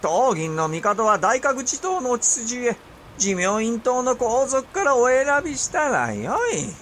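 An actor playing an imperious, impatient and suspicious medieval Japanese ruler in a period audio drama, with theatrical, drawn-out emphasis. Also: male voice, extremely high pitch, very animated, a bit bright, slight background noise, heavily acted. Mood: dismissive. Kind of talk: period radio drama acting in archaic speech.

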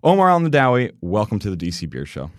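A man's voice: lowish voice, huge pitch swings, fast talker, dry recording, clean studio sound. A man acting as a podcast interviewer and host, addressing listeners and his guest. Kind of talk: podcast interview with prepared introduction.

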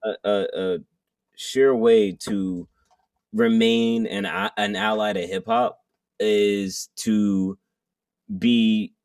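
A man with a very low voice chats casually as a rapper being interviewed. He rambles, full of fillers and restarts. The sound is dry and he sits a little back from the mic. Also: steady tone, extremely slow, darkish tone, clear, resonant tone, no echo, distant mic. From Jamaican heritage. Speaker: guest rapper.

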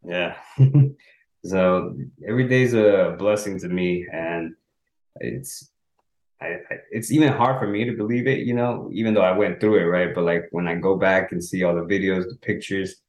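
Low-voiced young guy from el salvador, spanish first language, earnest, stumbles a bit, echoey room. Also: a little mellow. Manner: earnest, halting with restarts and small laughs. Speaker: guest, author. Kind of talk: casual interview.

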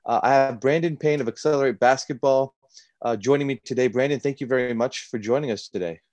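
A man's voice: mid-range voice, quick pace, darkish tone, no echo. A man hosting a sports podcast and interviewing a guest in a friendly way, with lots of fillers. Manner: friendly, filler-heavy questions. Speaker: podcast host, sports beat writer. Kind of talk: casual interview.

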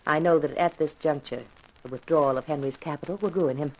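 An actress playing a frank, self-possessed young widow confiding intimately in a detective in a 1950s radio mystery. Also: female voice, low voice, brisk, dark tone, low-quality recording. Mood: candid. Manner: candid, intimate.

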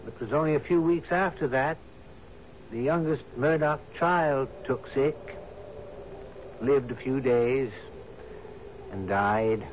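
A loyal, plain-spoken servant of a sea captain acted by a man answers in short, guarded, folksy phrases. His voice sits at an ordinary male pitch. It comes from a vintage radio drama with a dull sound and faint noise. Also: slow pace, pauses often, lightly acted. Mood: sombre. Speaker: actor in a radio mystery drama. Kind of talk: 1970s radio drama acting. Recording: muffled, hissy old radio broadcast.

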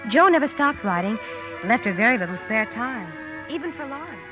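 An actress playing a proper, prim elder sister who narrates family memories in old-time radio drama style. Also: female voice, fairly high pitch, animated, fairly quick, music in the background, lightly acted. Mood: fond, reflective. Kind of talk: storytelling narration in 1940s-50s radio drama. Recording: dull vintage radio broadcast recording.

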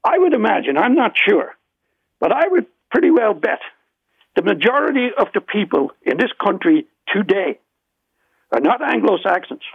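A man's voice: high-pitched, very animated, normal speed, vocal fry, slightly rough, no echo. A man with an Irish accent calling in to a radio talk show, speaking emphatically and insistently. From Ireland. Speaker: caller. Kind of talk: phone-in call. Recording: tinny phone line.

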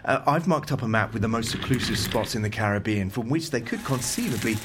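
A persistent, earnest intelligence investigator acted by a man in an audio drama. He has a medium-pitched voice and argues his case in a measured, urgent way, over faint background noise. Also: animated, lightly acted. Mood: insistent.

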